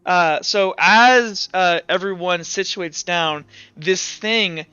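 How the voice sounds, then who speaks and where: Bright, clear, high-voiced man running a game. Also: no room sound, dull audio, faint music behind. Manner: halting, casual, swears freely. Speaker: game master. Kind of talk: improvised tabletop game narration.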